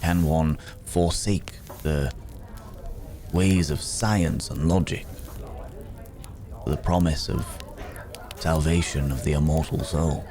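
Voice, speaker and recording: A man playing a weary, courteous werewolf traveler in an intimate fantasy ASMR roleplay, speaking in a halting, musing way with soft hums. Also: rumbling low, fairly even intonation. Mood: brooding, philosophical.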